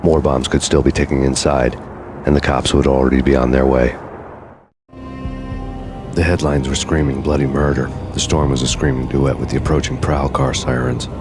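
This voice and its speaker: A world-weary New York cop from a noir game. His American voice is extremely low and nearly flat, brooding and deadpan as he narrates over music. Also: normal speed. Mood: grim, brooding. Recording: music behind the voice.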